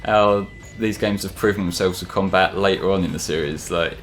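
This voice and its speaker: A man's voice: low-pitched, moderate pace. A man chatting casually as a co-commentator on a video game, with dry, wry asides. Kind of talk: casual gameplay chat. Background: video game music.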